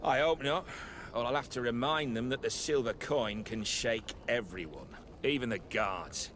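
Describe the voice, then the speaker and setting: An actor playing a smug, corrupt guard lieutenant in a video game, cocky and quietly threatening. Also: male voice, mid-range voice, moderate pace, lightly acted. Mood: smug menace. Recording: noisy ambient sound behind the voice.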